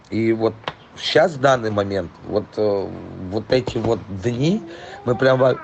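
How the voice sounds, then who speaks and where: A hoarse man rambling profanely in a casual voice message to a client, full of fillers. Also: mid-range voice, a little mellow, muffled recording, slight background noise. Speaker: trailer import dealer.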